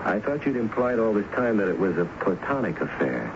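An actor playing a skeptical police lieutenant in a 1970s radio drama, probing with puzzled, hesitant questions. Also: male voice, on the low side, sing-song, brisk. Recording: dull, noisy 1970s radio broadcast recording.

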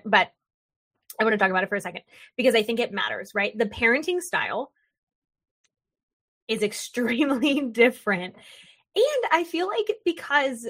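A woman hosting an interview, chatting in an animated, jokey way and acting out little quoted voices as she talks. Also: on the high side. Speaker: podcast host. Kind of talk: casual interview chat.

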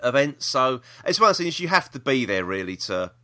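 A man's voice: mid-range voice, big pitch swings, brisk, pushed and bright. A British man hosting a podcast in casual chat, hesitant and rambling, often repeating himself. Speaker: podcast host.

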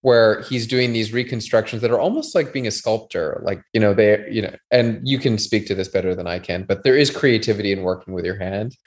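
A man in his thirties from Canada, chatting as a guest in a podcast interview. His voice sits a little low. He talks in a measured, unhurried way and hedges his points with qualifiers. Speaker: guest, doctor and TV writer. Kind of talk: casual interview chat.

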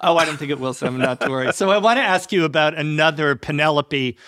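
A man's voice: a little high, fast, sharp tone, no echo, clean studio sound. A man hosting a podcast, interviewing a guest in a polished, conversational way.

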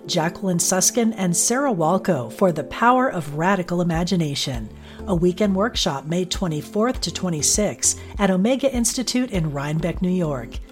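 A woman announcer reads a scripted promo in a smooth, measured voice. Her pitch is low, and faint music plays behind her.

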